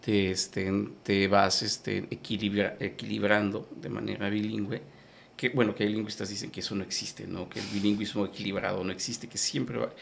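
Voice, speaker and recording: A man's voice: on the low side, little pitch movement, brisk, vocal fry, slight background noise. A man from Yucatán with a Yucatecan Spanish accent and Maya as his first language, explaining patiently in an interview, with many fillers and restarts. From Yucatán, Mexico. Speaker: guest. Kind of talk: conversational interview.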